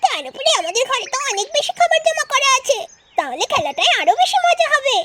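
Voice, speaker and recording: A woman's voice: very high voice, sing-song, fast, pushed and bright, full character voice. A woman voicing a mischievous little crow chick in a cartoon, childlike and eager. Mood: gleeful, eager. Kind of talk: cartoon story voice acting.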